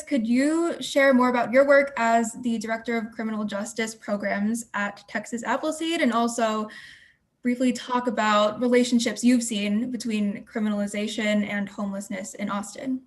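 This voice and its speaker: Female panel host. Higher-pitched, conversational, appreciative delivery. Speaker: panel moderator. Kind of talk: hosting a live panel discussion.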